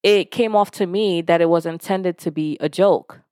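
Frank, low-voiced woman podcast host. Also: normal speed, clear, resonant tone, no echo, clean studio sound. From Nigeria. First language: Yoruba. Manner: frank and conversational, with casual swearing; lively when retelling stories, voicing others. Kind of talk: candid self-help monologue.

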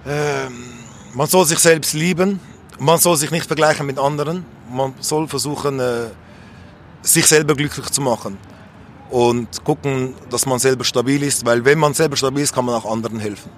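A man's voice: mid-range voice, fairly even intonation, moderate pace. A young man speaking spontaneously and earnestly in a street interview, giving his opinions insistently. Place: outdoors on an urban street. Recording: faint urban street and traffic noise.

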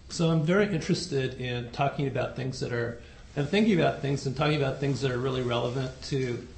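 A soft-spoken man giving a dharma talk to a group, unhurried and hesitant, with many fillers. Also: medium pitch, creaky, a little hiss or hum. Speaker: dharma teacher. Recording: echoey room recording.

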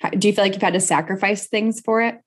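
A woman's voice: mid-range voice, steady tone, quick pace. A woman speaking as a podcast host, introducing and interviewing a guest. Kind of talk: conversational interview with a scripted introduction.

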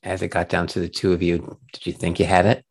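Casting: male interview show host, deep register with noticeable fry, presenting and questioning. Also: completely flat delivery, fast talker.

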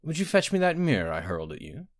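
Man voicing a high-pitched, imperious young queen. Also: big pitch swings, quick pace, close to an everyday voice. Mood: dry, calmer. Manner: commanding, biting, scornful. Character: imperious, sharp-tongued young queen in labor.

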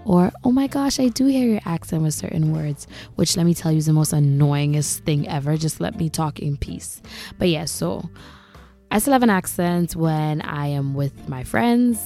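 A woman's voice: deep voice, moderate pace, dark tone, faint music behind. A young woman from Grenada with a Grenadian accent, hosting a casual monologue and talking candidly, a little exasperated. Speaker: podcast host.

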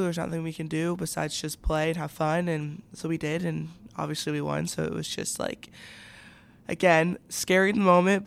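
A young woman in her late teens gives a casual interview. Her voice has a noticeable fry but stays clean and resonant, with fairly flat intonation. She talks in youthful, filler-heavy phrases. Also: sits low. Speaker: student athlete guest.

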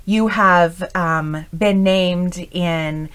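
A woman's voice: on the low side, steady tone, very slow, a bit bright, smooth. A woman hosting a conversational interview in an informal, encouraging manner. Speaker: interview host.

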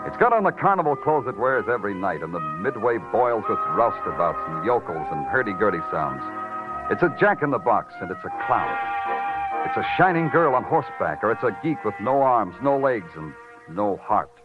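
An actor playing a sardonic, hard-boiled police lieutenant in a noir radio drama, terse and wry. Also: male voice, on the low side, sing-song, normal speed, phone-quality sound. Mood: brooding, wry. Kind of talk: 1940s radio noir acting. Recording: old band-limited radio recording with music.

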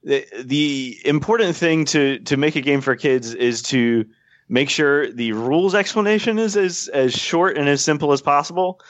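A man's voice: fairly high pitch, moderate pace, no echo. A man explaining game design at length as a podcast guest, thoughtful and full of small fillers. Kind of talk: casual podcast chat. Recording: dull, dry podcast audio.

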